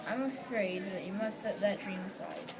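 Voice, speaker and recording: A woman's voice: medium pitch, moderate pace, lightly acted. A female reader playing a poor, kindly, resigned father in a readers theatre play. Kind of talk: readers theatre, reading from a script. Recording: distant mic, very noisy room.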